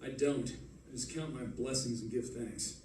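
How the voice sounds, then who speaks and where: A man's voice: medium pitch, fairly even intonation, measured pace, darkish tone, lightly acted. A man playing a wisecracking, evasive detective and husband in an old-time radio drama, flippant and guarded. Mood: flippant, affectionate. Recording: echoey, distant, noisy stage recording.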